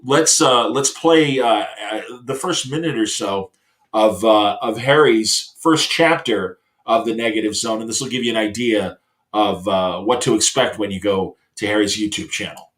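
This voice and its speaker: A man's voice: fairly low pitch, moderate pace, a bit bright. A middle-aged man hosting a show with casual, enthusiastic chat, rambling and often hesitating as he looks for the next phrase. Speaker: talk show host.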